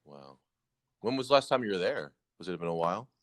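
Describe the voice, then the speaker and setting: A man's voice: sits low, very animated, fairly quick, fairly bright tone, dry recording, studio quality. A man hosting a podcast interview, upbeat and encouraging toward his guest. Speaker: podcast host.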